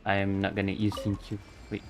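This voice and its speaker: A man's voice: deep voice, monotone, a little fast, vocal fry. A man giving casual live gameplay commentary as a streamer, thinking aloud in short bursts. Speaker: VTuber streamer playing a game. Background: game music.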